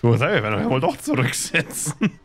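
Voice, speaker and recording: A male game streamer with a mid-pitched voice reads dialogue aloud, now and then tripping over words. He drops into casual commentary, and game music plays clearly behind him. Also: huge pitch swings, fairly quick. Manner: stumbles while reading text aloud. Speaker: gaming streamer.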